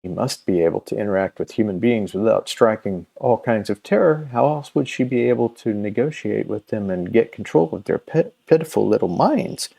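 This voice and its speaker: A man presenting casually and unscripted as a comics video host, halting and often searching for his words. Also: fairly low pitch, gravelly, mellow. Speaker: comics video host and creator promoting his own campaign.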